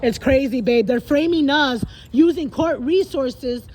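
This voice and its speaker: Woman talking heatedly on a call, high voice, really insistent, bit of background noise. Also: a little slow, a little mellow. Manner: insistent, emphatic, repeats herself. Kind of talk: heated personal phone conversation. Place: at a public library.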